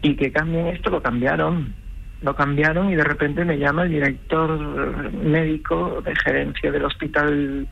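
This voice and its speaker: A woman's voice: deep voice, steady tone, unhurried, creaky, breathy edge, dull audio. A woman giving personal testimony as a radio interview guest, telling her story animatedly and acting out quoted dialogue. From Galicia, Spain. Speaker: interview guest, truck driver.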